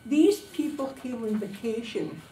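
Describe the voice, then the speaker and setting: Woman public speaker, slightly high voice, dramatic storytelling in short emphatic phrases, noisy room recording. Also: lively intonation, unhurried. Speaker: club speaker giving a prepared speech. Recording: distant, echoey room recording with background noise.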